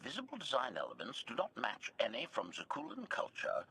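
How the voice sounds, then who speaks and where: An actor playing a servile combat droid in a video game, speaking in formal, clipped announcements with a robotic filter and cool menace. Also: male voice, mid-range voice, huge pitch swings, brisk, gravelly, pushed and bright, slight background noise, full character voice. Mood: formal, obedient. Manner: announces each statement type, formal and clipped. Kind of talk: video game character acting.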